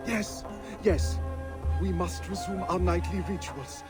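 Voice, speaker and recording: A man's voice: high-pitched, takes it slowly, over loud music. A man playing a fearful, pious cultist in a video game, speaking with earnest reverence. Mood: earnest, wary. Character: fearful, pious male cultist of a heretic pharaoh. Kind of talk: dramatic scripted game dialogue.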